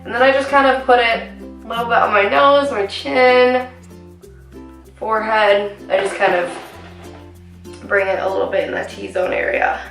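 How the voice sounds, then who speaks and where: A young woman with a slightly raspy voice talks her way through a makeup routine, rambling and filling the pauses with ums. She sits a little back from the camera mic in a small home room, so her voice carries a roomy echo, with faint music underneath. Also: fairly high pitch, fairly bright tone, distant mic. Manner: chatty, rambling with many ums. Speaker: beauty vlogger. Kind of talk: casual makeup tutorial.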